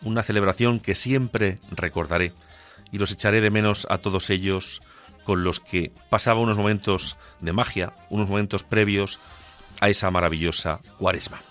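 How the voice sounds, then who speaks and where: A man's voice: fairly low pitch, fairly even intonation, moderate pace, fairly bright tone. A man with a central Castilian Spanish accent delivering an impassioned, declamatory radio monologue, rhetorical and emphatic. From La Mancha, central Spain. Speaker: radio contributor. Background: music under the voice.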